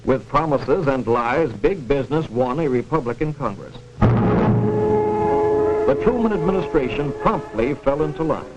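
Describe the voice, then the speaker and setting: A man's voice: fairly high pitch, wildly swooping pitch, measured pace. A sardonic, emphatic American man narrating a dramatic 1940s film, on a dull vintage soundtrack. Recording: dull vintage film track, music behind.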